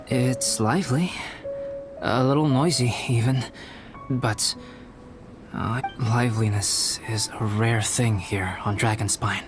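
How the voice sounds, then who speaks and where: A man voicing a calm, polite young alchemist painter in a video game, soft-spoken, measured and courteous. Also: mid-range voice, lightly acted. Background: game music.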